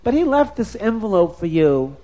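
A woman's voice: mid-range voice, big pitch swings, moderate pace, warm tone, voice pushed up, close to an everyday voice, rough recording. A man voicing a selfish, lazy young wife who talks offhandedly with hesitant fillers. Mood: dismissive.

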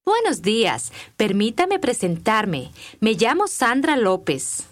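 A woman reading scripted language-course dialogue with clear, deliberate enunciation. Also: on the high side, sing-song.

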